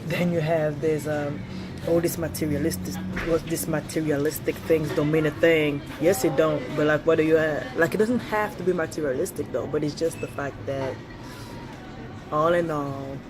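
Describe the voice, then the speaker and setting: A woman's voice: deep voice, steady tone, moderate pace, music in the background. A woman in her thirties from Switzerland, whose first language is French, speaking with a Swiss French accent with a touch of British. She talks bluntly and chattily in a casual vlog, laughing often and swearing freely. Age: 30s. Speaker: vlogger.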